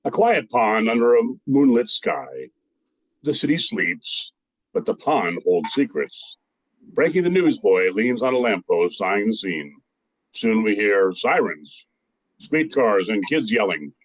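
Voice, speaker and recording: An older man playing the narrator of a radio play, setting out the scene in a measured scripted style. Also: lowish voice, sing-song, no room sound, full character voice, rough recording. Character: measured male storyteller narrator.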